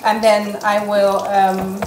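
A woman's voice: mid-range voice, completely flat delivery, slow pace, noisy background. A young woman vlogging at home, chatting casually to the camera in a rambling way that often hedges and corrects itself. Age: young adult. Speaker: lifestyle vlogger. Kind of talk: casual vlog chat to camera. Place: small rooms at home, bedroom and kitchen. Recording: roomy echo of a small room.

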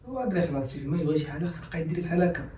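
A man plays a brusque, scolding Moroccan older brother. He talks rapidly in a somewhat high voice. The sound is echoey and distant, with faint noise behind. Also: animated, mellow, natural voice. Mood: bossy. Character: bossy short-tempered brother, young adult man. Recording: roomy echo, mic set back.

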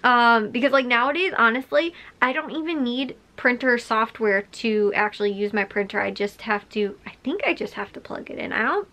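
A woman's voice: on the high side, normal speed, hardly any pauses, pushed and bright. A woman around thirty chats casually to camera as a vlogger, rambling with many filler likes and chuckling as she talks. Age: late 20s to early 30s. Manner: rambling, frequent filler "like", chuckles while talking. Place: small bedroom or craft room, indoors.

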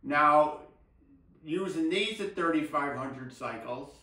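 Older man, halting casual explainer, technical co-host, roomy echo, distant mic. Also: fairly high pitch, sharp tone. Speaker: co-host of an audio hobby show. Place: indoor room with table and blinds.

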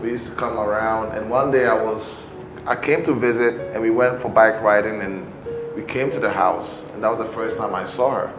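A man's voice: on the low side, normal speed, over music. Ghanaian man telling his story, roomy sound. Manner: relaxed storytelling with many fillers. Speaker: guest, bishop.

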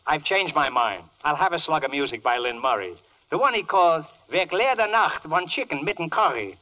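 A flustered, mock-pompous judge in an old radio verse comedy. The voice is on the high side and swoops about with exclamations. The recording is dull and band-limited. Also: male voice, expressive, moderate pace, sharp tone. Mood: brisk, wisecracking. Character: flustered, blustering male judge in a comic verse courtroom.

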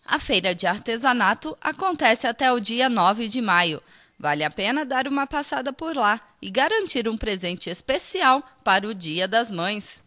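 A woman TV news reporter reading a scripted voice-over in a clear, even delivery. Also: on the high side, normal speed.

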